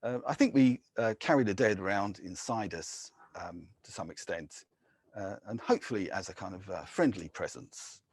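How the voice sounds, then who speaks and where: An English man giving a measured poetry reading, with soft, hesitant asides between poems. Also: fairly low pitch, husky, dry recording. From England. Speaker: poet.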